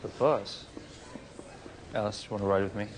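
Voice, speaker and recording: A man's voice: on the low side, moderate pace, noisy background, close to an everyday voice. An actor playing a pretentious male food judge who trades dry, teasing banter. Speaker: food judge, globe-trotting gourmand. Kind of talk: film dialogue. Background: background music.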